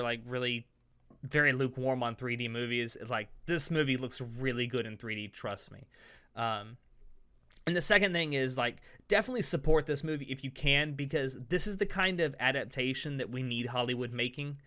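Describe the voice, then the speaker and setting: A man with a bright, crisp-edged voice at a middle pitch hosts a podcast. He talks casually and with enthusiasm, offering his opinions freely. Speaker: podcast host.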